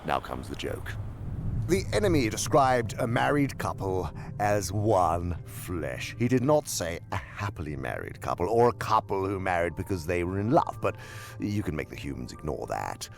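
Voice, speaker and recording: An actor playing a senior demon in a radio drama, a sly, imperious mentor who speaks pompously and savors each word with theatrical emphasis in a gravelly voice. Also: male voice, deep voice, big pitch swings, normal speed, heavily acted. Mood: sardonic lecturing.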